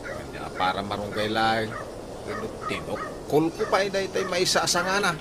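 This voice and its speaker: An actor playing a sly, evasive village husband in an Ilocano comedy radio drama. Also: male voice, lowish voice, very animated, moderate pace. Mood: defensive. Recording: noisy track with animal sounds.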